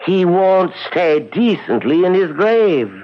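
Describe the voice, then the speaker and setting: An actor playing a hesitant older Irish uncle in a radio drama, with an Irish accent and a halting, grave way of talking. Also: male voice, sits high, very animated, very noisy, full character voice. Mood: grave, deliberate. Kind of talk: old-time radio drama acting. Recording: muffled old radio recording.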